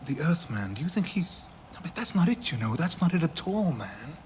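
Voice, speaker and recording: A man's voice: fairly high pitch, big pitch swings, a little fast, slight background noise. An actor playing a flippant, slangy hipster man who stammers evasively in a radio comedy drama.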